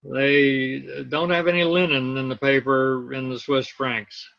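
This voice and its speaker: A man teaching an online class over a laptop video call, explaining step by step and pausing to look for things on his screen. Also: mid-range voice, little pitch movement, vocal fry, muffled recording. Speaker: instructor.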